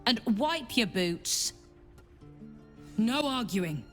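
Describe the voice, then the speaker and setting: A grown daughter who runs her household in a game drama. She speaks slowly and bluntly, giving orders with teasing affection, over faint music. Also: a little high, big pitch swings, fairly bright tone, full character voice. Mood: stern, teasing. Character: brisk, bossy grown daughter and mother, fond underneath. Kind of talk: video game dramatic acting.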